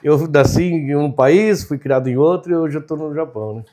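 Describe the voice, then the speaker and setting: Mellow-voiced chatty Argentine-born man. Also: on the high side, moderate pace. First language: Spanish. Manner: open, chatty, laughs often, fillers like "né?". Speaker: chef and entrepreneur, podcast guest. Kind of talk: casual interview chat.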